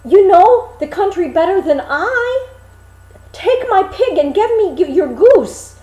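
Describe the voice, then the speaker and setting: A woman voicing a naive, excitable young man in a storybook, with exclamatory, old-fashioned speech. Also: very high-pitched, takes it slowly, echoey room, voice pushed up, full character voice. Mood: frightened, pleading. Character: naive, excitable young man Hans, easily pleased and alarmed.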